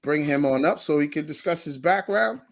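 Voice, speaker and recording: A man co-hosting a basketball show, upbeat and chatty, his voice sitting a bit high. He keeps thanking the people tuning in and talks in easy, friendly runs. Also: animated, moderate pace, creaky. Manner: upbeat, talks over his co-host, says thank you often. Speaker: co-host. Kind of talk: casual podcast chat.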